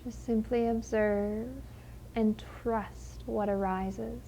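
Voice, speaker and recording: Woman, meditation guide, slightly high pitch, very even melody, soothing, unhurried delivery. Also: a little hiss or hum, low-quality recording. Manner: gentle, unhurried, soothing, with long pauses.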